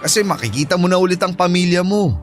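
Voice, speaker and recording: A man's voice: sits high, fast, faint music behind, natural voice. A man playing a caring, easygoing Filipino shipmate and buddy in an audio drama, encouraging in manner. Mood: concerned.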